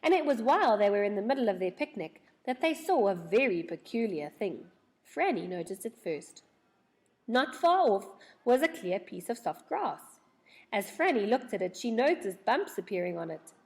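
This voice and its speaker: A woman narrates a children's book with a very lively, swooping delivery. Her voice sits at an everyday female pitch, and she tells the tale with dramatic expression. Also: moderate pace. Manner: animated, dramatic storytelling. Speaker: storyteller reading a children's book aloud.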